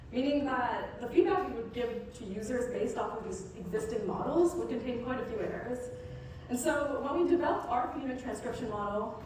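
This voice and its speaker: A woman co-founder giving a rehearsed startup pitch to present a product. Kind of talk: rehearsed demo-day pitch. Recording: echoey hall from far off, noisy.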